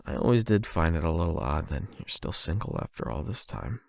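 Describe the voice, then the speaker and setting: A man performing an intimate confession roleplay as a nervous, lovestruck young man, speaking in a halting, self-doubting, conversational way into a close microphone. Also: very deep voice, big pitch swings, vocal fry, dry recording. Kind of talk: emotional confession roleplay, ASMR-style monologue.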